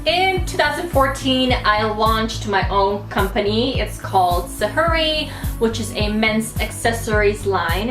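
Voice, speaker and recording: Chatty young woman in an echoey room. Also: medium pitch. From United States. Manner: chatty and playful, with many fillers. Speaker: YouTuber. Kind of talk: casual talking to camera.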